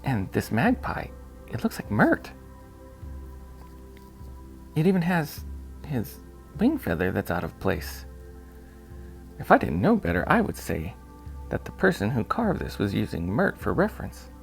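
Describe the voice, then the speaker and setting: A man's voice: on the low side, huge pitch swings, unhurried, vocal fry, pauses often, faint music behind, heavily acted. A man voicing a polite, curious and somewhat nervous young lady in an audiobook, with a hesitant manner. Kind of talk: audiobook character voice.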